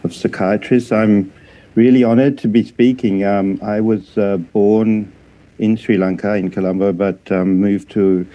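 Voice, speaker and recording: Low-voiced, halting Australian man lecturing. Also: steady tone, mellow. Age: 50s. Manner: hesitant, halting delivery with frequent pauses and broken-off words. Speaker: psychiatrist and addiction specialist giving a lecture. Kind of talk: academic conference lecture.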